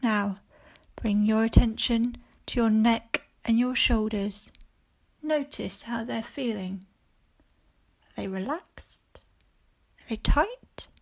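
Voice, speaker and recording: A woman teacher leading a guided mindfulness body scan for children, speaking calmly and gently in short phrases with long pauses. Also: a little high. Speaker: primary school teacher leading a guided meditation.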